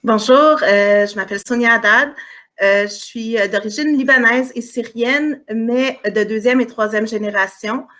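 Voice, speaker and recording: A woman's voice: fairly high pitch, fairly even intonation, moderate pace, a bit bright. Woman 40s, community worker, friendly inviting intro on a call. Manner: friendly, inviting, some fillers. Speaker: community organizer. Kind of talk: panel self-introduction. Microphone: video call.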